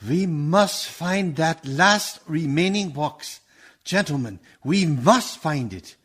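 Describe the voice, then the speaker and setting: An actor playing an earnest, urgent doctor who leads a vampire hunt in a radio play, grave and deliberate. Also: male voice, a little high, lively intonation, a bit bright, slightly rough, full character voice. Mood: urgent, solemn.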